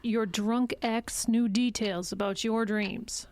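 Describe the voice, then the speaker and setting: An actress playing a composed, skeptical psychologist who questions in short, insistent phrases in an audio drama. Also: female voice, fairly high pitch, steady tone, takes it slowly, darkish tone, no echo.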